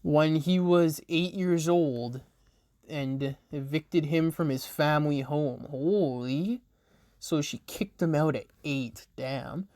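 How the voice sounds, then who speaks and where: A man hosting a true crime podcast, reading a script haltingly, stumbling over names and adding blunt, swearing asides. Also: fairly high pitch, darkish tone, no echo.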